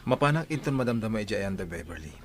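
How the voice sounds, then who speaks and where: A man's voice: fairly low pitch, very animated, quick pace. A Filipino man with an Ilocano accent playing a besotted, suspicious husband in an audio drama, earnest and conversational. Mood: weary, defensive. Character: besotted, suspicious husband straying from his wife. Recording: some background noise.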